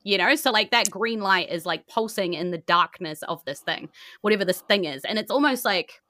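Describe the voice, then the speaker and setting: A woman's voice: medium pitch, expressive, moderate pace, pushed and bright, dry recording. A woman improvising vivid, informal narration as the game master of a tabletop game.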